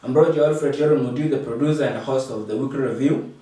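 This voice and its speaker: A man with a South Sudanese accent hosting a formal interview, speaking in a halting, measured way. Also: mid-range voice, mellow. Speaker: host and producer. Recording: very echoey room, far from mic.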